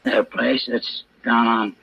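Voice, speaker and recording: A man's voice: mid-range voice, little pitch movement, slow, vocal fry. A man playing a surly, defensive American ex-convict mechanic with grumbling, slangy speech in a 1950s radio drama. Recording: dull, noisy old radio recording.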